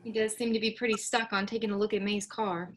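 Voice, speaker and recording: A woman reading a patient, gently teasing grown daughter in a staged play reading. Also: mid-range voice, flat delivery, fast, slight background noise, close to an everyday voice. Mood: gentle. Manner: soft, easygoing, gently teasing.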